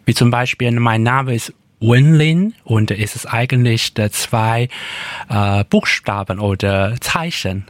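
A young man from Taiwan speaking German as a second language with a Taiwanese accent in a radio interview, pausing often to search for words. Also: medium pitch, dry recording. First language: Taiwanese Hokkien and Mandarin. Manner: halting, many hesitations, searching for words. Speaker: interview guest.

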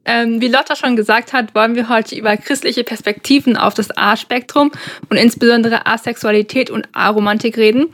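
A young woman co-hosts a podcast conversation. Her voice sits a little above average in pitch. She speaks earnestly and carefully. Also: fairly even intonation, brisk, fairly bright tone. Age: young adult. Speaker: podcast co-host.

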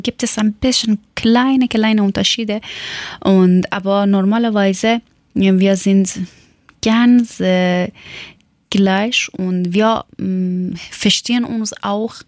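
A young woman with a Dari Persian accent speaks German slowly and haltingly, stopping often to search for words and correct herself. Her voice sits at a middling pitch, and the recording is dry and close. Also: no echo. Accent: Afghan Persian (Dari). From Afghanistan, Herat. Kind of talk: casual personal account.

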